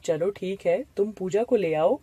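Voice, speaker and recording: Cartoon family man, voiced by a woman: calm, mellow, practical, matter-of-fact, very dry sound. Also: medium pitch, measured pace, slightly rough, no room sound. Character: calm, practical uncle, head of household. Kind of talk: animated moral story dialogue.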